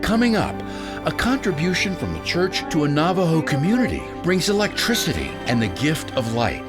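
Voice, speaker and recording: Lively male news announcer. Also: on the high side, normal speed. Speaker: news narrator. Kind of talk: scripted news narration. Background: music bed.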